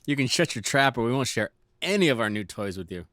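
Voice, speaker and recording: A man's voice: medium pitch, very animated, fast, a bit bright, no echo, clean studio sound. A man acts out an easygoing, wisecracking city gang member in an audio drama. His delivery swings freely up and down, full of teasing banter. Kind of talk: casual audio drama dialogue.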